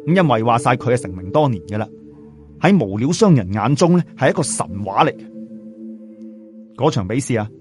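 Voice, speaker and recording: A man narrating a story in a lively, colloquial Cantonese storytelling style, chatting in asides to listeners. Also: mid-range voice, a little fast, long pauses, music in the background. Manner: chatty, uses sentence particles and asides to listeners. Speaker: audiobook storyteller.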